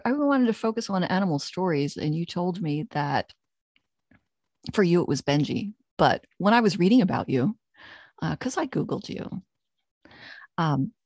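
Brief: female podcast host, lowish voice, chatty and friendly, dry close sound. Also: a little mellow, dry recording. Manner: friendly, chatty interviewer who laughs often. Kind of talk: casual interview.

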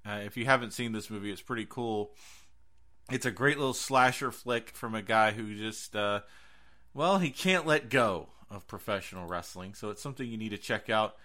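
An American man hosts a horror podcast in a casual, chatty style. His voice is a little low in pitch yet bright and punchy as he recommends films. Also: moderate pace. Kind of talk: casual movie recommendation chat.

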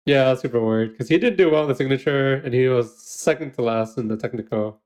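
A man's voice: mid-range voice, fast, a little mellow. A man co-hosting a podcast, recapping a baking show in casual chat, giggling often and using lots of fillers. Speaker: podcast co-host.

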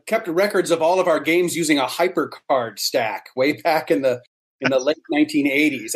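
A man's voice: fairly high pitch, slightly rough. A middle-aged man giving casual, explanatory interview answers, often repeating words as he thinks. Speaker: interview guest, member of a hobbyist society.

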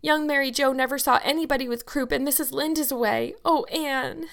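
A woman's voice: high voice, fairly even intonation, a little fast, gravelly, fairly bright tone, pitched above the performer's own voice. A young country girl in a storybook, played by a woman narrator, speaks in a raised, anxious voice. She sounds badly frightened and pleads as she talks. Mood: frightened, pleading. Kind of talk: audiobook character dialogue.

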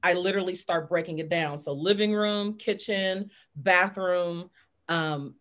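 A middle-aged woman with a low, deep voice, a guest on a chat podcast who walks through steps in an upbeat, instructive way. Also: measured pace, a bit bright. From Fresno, California. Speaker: podcast guest, business author. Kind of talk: casual interview chat.

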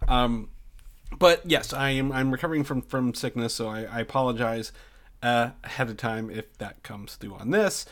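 A man's voice: medium pitch, normal speed, fairly bright tone. Male podcast host, congested, chatty and rambling. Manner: rambling with many fillers and restarts. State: congested with flu. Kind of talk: casual podcast chat.

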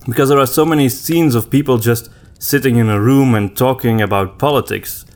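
Medium-pitched man in relaxed discussion. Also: brisk. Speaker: podcast co-host. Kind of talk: casual book discussion.

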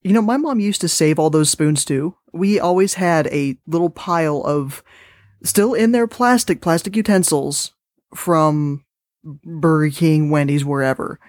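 A woman's voice: low voice, a little slow, a little mellow, no echo, clean studio sound. A woman hosting a podcast, chatting casually and informally between pieces. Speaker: podcast host. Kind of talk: casual chat between stories.

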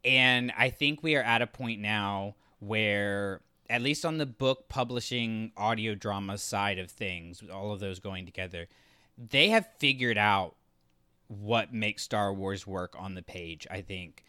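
Male podcast co-host, casual and enthusiastic, lowish clear voice, close dry sound. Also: pushed and bright, no echo. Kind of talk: casual podcast review discussion.

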